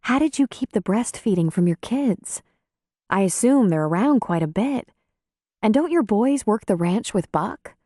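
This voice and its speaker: A woman reading the part of a caring, level-headed midwife in an audiobook, gentle, earnest and concerned. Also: mid-range voice, lively intonation, measured pace, dry recording, studio quality, voice pushed up. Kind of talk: audiobook character dialogue.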